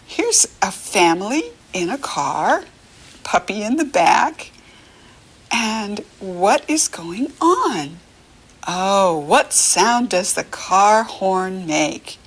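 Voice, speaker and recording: American woman, children's read-aloud teacher. Unhurried, very lively pitch, encouraging and full of questions. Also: husky. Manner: encouraging, asks questions and leaves room to answer; animated, sing-song child-directed talk. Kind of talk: read-aloud picture-book lesson for young children.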